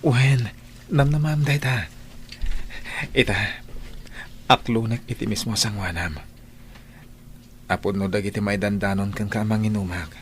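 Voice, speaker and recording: A man's voice: on the low side, fairly even intonation, unhurried, long pauses, slight background noise. A man playing an earnest, lovestruck young village man in a radio-style story drama. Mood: earnest, tender.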